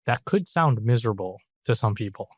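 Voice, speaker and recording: Man, podcast host, mid pitch, rambling casual chat, many "like" fillers, dry close mic. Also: expressive, a little fast, breathy edge, no echo.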